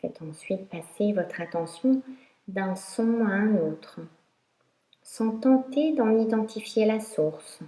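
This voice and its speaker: A woman teaching yoga nidra, guiding a meditation in a calm, instructional way with long pauses. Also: mid-range voice. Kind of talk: guided meditation narration. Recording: roomy echo.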